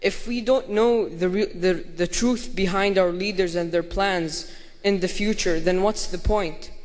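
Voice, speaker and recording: A man's voice: high-pitched, a little slow. A man putting a formal question from the audience of a debate. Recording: reverberant hall.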